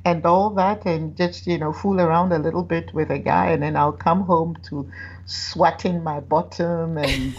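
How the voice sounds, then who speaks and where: A woman's voice: low-pitched, steady tone, unhurried, darkish tone, lo-fi sound, slight background noise. A woman who grew up in Ghana, a writer guest telling candid personal stories and laughing often. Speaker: author guest. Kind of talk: candid conversational storytelling.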